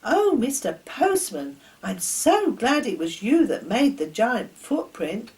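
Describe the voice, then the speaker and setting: A woman's voice: a little high, big pitch swings, takes it slowly, darkish tone, voice pushed up, exaggerated voice. A woman voicing an earnest, curious young boy in a children's story, speaking eagerly and politely. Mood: relieved, excited.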